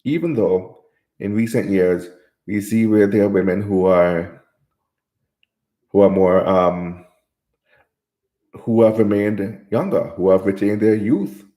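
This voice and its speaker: A man's voice: lowish voice, slow pace, pauses often, warm tone, reverberant. A man from Jamaica hosting a live stream, reading viewer comments aloud and answering them in casual chat.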